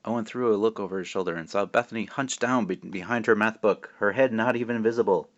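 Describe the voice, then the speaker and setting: A man's voice: fairly low pitch, fast talker, lo-fi sound. A man speaking as a children's author, informally reading a storybook aloud with modest asides. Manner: self-deprecating, informal.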